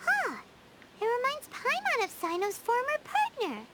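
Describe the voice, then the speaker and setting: A woman voicing a small, childlike, nosy fairy companion in a video game, squeaky and chirpy. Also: wildly swooping pitch, measured pace, heavy background noise, heavily acted. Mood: curious. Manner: refers to self in third person. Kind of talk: video game character acting. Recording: loud game music behind.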